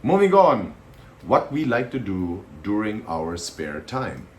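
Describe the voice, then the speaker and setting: A man in his thirties, from Estonia, chatting casually to camera with a relaxed, hesitant delivery and frequent fillers. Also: fairly low pitch, takes it slowly. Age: 30s. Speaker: vlogger.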